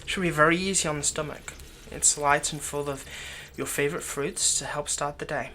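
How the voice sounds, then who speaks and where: A man playing a doting, lightly teasing fantasy villain captor in an ASMR roleplay, speaking softly and tenderly, coaxing the listener without hurry. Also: a little high, steady tone, a little hiss or hum. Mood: gentle, reassuring. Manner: soft, coaxing, unhurried, tender.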